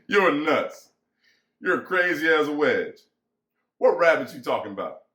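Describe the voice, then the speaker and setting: A man's voice: fairly high pitch, very animated, takes it slowly, bright, forceful tone, full character voice. A man acting as bitter, lonely American ranch hand, echoey. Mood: mocking. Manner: folksy rural vernacular, musing and pressing. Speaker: actor. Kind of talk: dramatic reading of a novel. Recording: echoey room.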